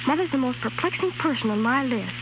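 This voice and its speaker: Eager, high-pitched young niece. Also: female voice, animated, quick pace. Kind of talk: 1930s scripted radio advertisement. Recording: hissy, muffled 1930s radio recording.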